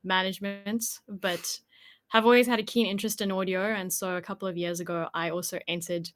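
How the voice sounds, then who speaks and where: A woman's voice: medium pitch, flat delivery, fairly quick, a bit bright. A woman working as a podcast producer, answering interview questions in a thoughtful, explanatory way.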